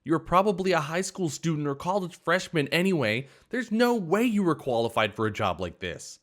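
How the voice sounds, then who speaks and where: A man voicing an entitled, spiteful older woman server who scolds and rants loudly. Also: wildly swooping pitch, normal speed. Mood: shrill indignant. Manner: shrill, scolding, indignant.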